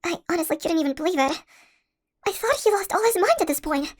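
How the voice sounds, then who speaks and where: A young woman voicing an anxious, chatty shrunken college girl in an audio roleplay, with an expressive, hesitant manner. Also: very high-pitched, fast talker. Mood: uneasy recounting. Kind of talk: first-person audio roleplay, conversational acting.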